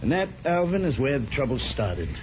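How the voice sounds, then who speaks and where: An American actor playing an earnest, slangy small-time crook and henpecked husband in a 1950s radio crime comedy. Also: male voice, medium pitch, very animated, fairly quick, natural voice. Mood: wry, explaining. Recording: muffled, noisy 1950s radio recording.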